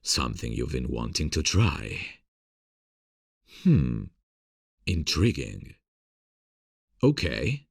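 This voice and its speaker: A man playing a gentle, earnest theatre director in love, in an intimate ASMR romance roleplay, speaking in a tender, confiding, hesitant way with a husky voice. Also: rumbling low, huge pitch swings, slow, long pauses, no echo, clean studio sound, heavily acted. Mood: flustered.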